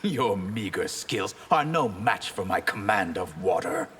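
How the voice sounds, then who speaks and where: An actor playing a proud fugitive villain with water powers in a video game, speaking in a formal, haughty way. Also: male voice, fairly low pitch, animated, unhurried. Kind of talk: video game dialogue acting. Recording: very noisy game sound backdrop.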